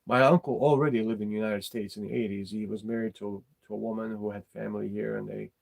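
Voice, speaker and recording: A middle-aged man, talking casually and bluntly about his opinions, halting and repeating his words. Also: on the low side, fairly even intonation, creaky, darkish tone, poor audio. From former Yugoslavia. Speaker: podcast host.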